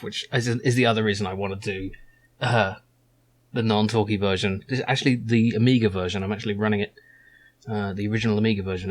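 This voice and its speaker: A man giving informal, slightly hesitant game commentary, thinking aloud as the host of a let's play. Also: on the low side. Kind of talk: casual game commentary and comic-book narration.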